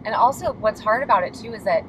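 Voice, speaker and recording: A woman's voice: mid-range voice, fast talker. A woman hosting a casual, chatty vlog interview with an encouraging, playful manner while riding in a car. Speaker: host. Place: inside a moving car. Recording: distant mic, clear vehicle road noise. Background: car driving noise.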